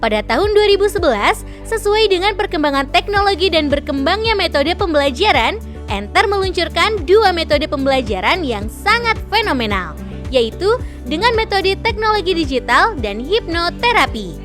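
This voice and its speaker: A woman's voice: very high voice, sing-song, normal speed, fairly bright tone, faint music behind. A woman reading formal scripted promotional narration. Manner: smooth, formal read.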